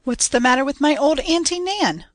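A woman's voice: high-pitched, lively intonation, normal speed, voice pushed up. A woman voicing a hearty, kindly young farmhand boy in a story reading, folksy and sympathetic. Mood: hearty, concerned.

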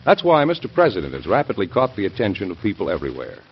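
A male old-time radio announcer with a low voice reads his script earnestly. His pitch moves widely for emphasis, and the recording is dull and muffled. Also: huge pitch swings, fast, a little hiss or hum.

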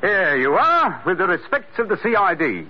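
Polite british cop, jaunty n proper, bouncy high voice, 1940s radio show, bit of hiss. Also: male voice, very animated, moderate pace. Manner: chipper, very proper. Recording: muffled, hissy old radio recording.

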